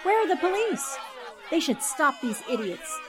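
A woman plays a resolute newspaperwoman in old radio drama style. Her high, animated voice is urgent, over crowd noise. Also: normal speed. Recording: noisy crowd sound effects behind.

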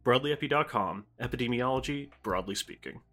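A man's voice: mid-range voice, a little fast, bright, forceful tone, faint music behind. A man giving a scripted promotional read as a science educator.

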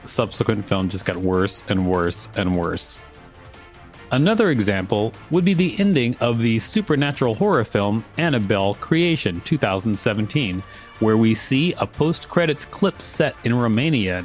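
A man's voice: on the low side, lively intonation, moderate pace, faint music behind. A man co-hosting a podcast, reading an article aloud and chatting casually. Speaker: podcast co-host.

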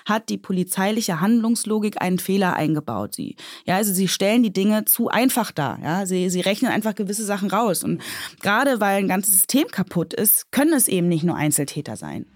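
Ernste, stockend argumentierende Polizistin. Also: female voice, medium pitch, big pitch swings, dry recording, studio quality. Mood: searching, critical. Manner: halting, searching, frequent tag words. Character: earnest, self-critical female police officer under questioning. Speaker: police officer, interview guest. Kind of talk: acted interview in a scripted audio drama.

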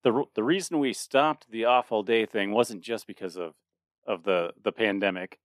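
A man's voice: lowish voice, normal speed, no room sound, clean studio sound. A man in his late thirties co-hosting a podcast in a casual chat, rambling with frequent restarts and laughter. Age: 30s. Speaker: podcast co-host.

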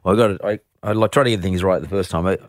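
A middle-aged man hosting an interview podcast, speaking in a measured, deliberate way with restarts and fillers. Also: low-pitched, dry recording, clean studio sound. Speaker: podcast host. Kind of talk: interview chat with scripted intro.

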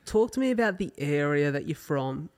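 A man with a fairly high voice hosts a casual interview. He sounds upbeat and earnest, leaning into his words with eager, encouraging energy. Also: lively intonation, moderate pace, a little mellow. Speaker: podcast host.

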